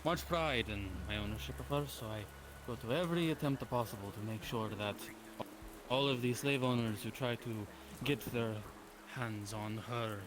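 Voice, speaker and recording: A man plays an aristocratic slave-owning lord in a fantasy roleplay. He is courteous but smug and dismissive, speaking in measured phrases at a middling pitch. Rain is clearly heard behind the voice. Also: background noise, close to an everyday voice. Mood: smugly polite. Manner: measured, haughty, politely dismissive.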